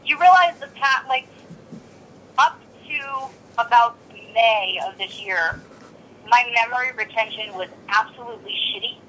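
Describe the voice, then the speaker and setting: A woman's voice: fairly high pitch, a little slow, fairly bright tone. A blunt, exasperated woman arguing on a recorded telephone call. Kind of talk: tense phone argument.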